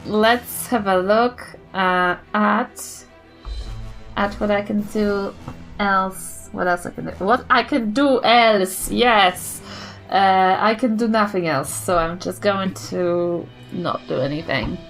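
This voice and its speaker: Female tabletop player. Unhurried, tentative delivery, casual and conversational, faint music under the voice. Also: medium pitch, a bit bright, poor audio. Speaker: player in a tabletop game podcast. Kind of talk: casual game-table chat.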